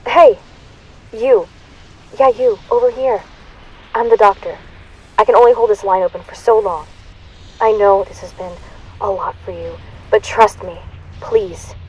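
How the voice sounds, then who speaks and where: A woman's voice: medium pitch, fairly even intonation, measured pace, pauses often, a little mellow, slightly rough. A woman playing an urgent female time traveller in a fan audio drama, calling out and pleading. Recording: distant, muffled lo-fi line.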